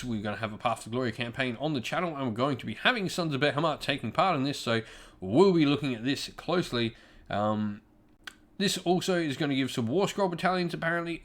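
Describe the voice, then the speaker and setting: A man's voice: medium pitch, a bit bright. A man hosting a gaming channel, talking informally and conversationally as he shares opinions and addresses his viewers directly. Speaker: YouTube gaming channel host. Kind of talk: casual opinion commentary to viewers.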